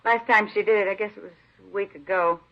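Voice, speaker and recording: An actress in a 1950s radio crime drama playing a gossipy office secretary, chatty with afterthoughts, on a dull vintage recording. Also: female voice, mid-range voice, steady tone, fast, natural voice. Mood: gossipy, amused.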